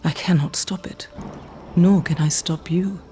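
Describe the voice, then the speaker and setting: A woman playing a solemn old shaman of a dying giant race in a fantasy game, speaking in a measured, mystical way. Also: on the low side, steady tone, warm tone, soft background music, close to an everyday voice. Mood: ominous. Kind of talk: dark fantasy game acting.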